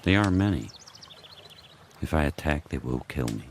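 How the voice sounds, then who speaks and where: A man playing a fierce, brooding sled dog turned pack leader, telling his tale in terse, deliberate phrases in an audio drama. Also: lively intonation, slight background noise. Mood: fierce, contemptuous. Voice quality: very deep, husky. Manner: terse, deliberate first-person narration in short phrases.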